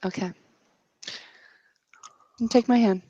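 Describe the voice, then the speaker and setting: A woman playing a patient, cryptic female mentor in a tabletop roleplay, gently insistent, measured and solemn, with pauses. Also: a little high, fairly even intonation, dry recording, highs cut off, voice pushed up. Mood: probing.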